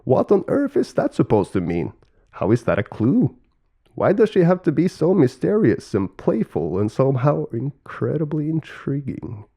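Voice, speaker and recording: Man as storybook creator god, earnest, loving, exasperated, mellow, creaky. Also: mid-range voice, wildly swooping pitch, normal speed.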